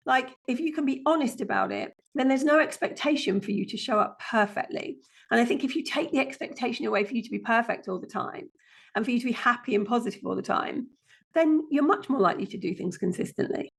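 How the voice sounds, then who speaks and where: A woman guest with a croaky, chesty voice, speaking bluntly and plainly in a casual interview. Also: on the high side, sing-song, fast talker. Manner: blunt, down-to-earth. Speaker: guest, passive income strategist.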